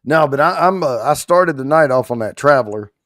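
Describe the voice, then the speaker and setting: A man with a rough, hoarse, worn-out voice, hosting a livestream in rambling, folksy casual chat while trying not to get loud. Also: medium pitch, lively intonation, fairly quick, dry recording, studio quality. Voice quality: rough, strained voice. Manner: rambling, folksy, holding back volume. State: hoarse, worn out after allergy attack. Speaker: host of a whiskey giveaway livestream.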